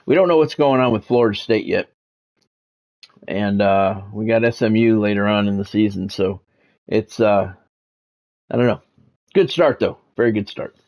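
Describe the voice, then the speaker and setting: A man's voice: lowish voice, moderate pace, long pauses, darkish tone. A man giving casual, rambling, conversational commentary as he hosts a football video game stream. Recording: dull lo-fi audio with music behind.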